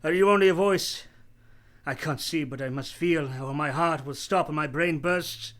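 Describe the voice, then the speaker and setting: An actor playing a passionate, commanding blind gentleman in a live period radio romance, theatrical and fervent in delivery. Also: fairly high pitch, normal speed, lightly acted. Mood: agitated, desperate.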